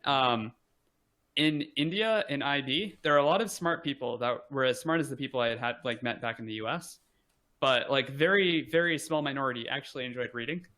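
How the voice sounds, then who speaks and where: A man's voice: medium pitch, a little fast, bright, forceful tone. A young man talking casually as an interview guest, rambling with many fillers and restarts. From United States. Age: young adult.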